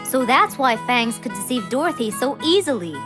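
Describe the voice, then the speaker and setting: A woman's voice: fairly high pitch, very animated, normal speed, music in the background. A woman voicing an assertive, childlike young animal pupil in a children's cartoon. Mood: self-assured.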